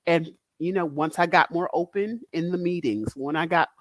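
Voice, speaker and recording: A middle-aged woman from the Northeast US with a big, deep, loud voice, talking candidly and directly in a casual podcast chat as a guest speaker. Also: moderate pace, no room sound. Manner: direct, candid, laughs often.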